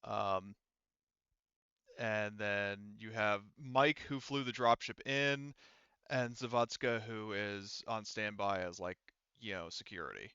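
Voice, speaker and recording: A man running a tabletop roleplaying game as game master, explaining things casually and haltingly with frequent restarts. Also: fairly low pitch, fairly even intonation, pushed and bright, smooth, no room sound, lo-fi sound.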